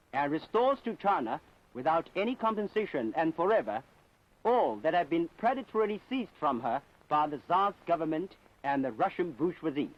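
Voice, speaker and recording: A man narrating a scripted propaganda documentary in a solemn, emphatic, declamatory manner. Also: a little high. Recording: dull 1960s film soundtrack.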